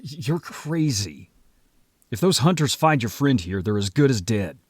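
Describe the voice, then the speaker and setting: A man playing a protective werewolf brother in an audio roleplay, gruff, blunt and exasperated but caring. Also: mid-range voice, big pitch swings, unhurried. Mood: mocking, frustrated.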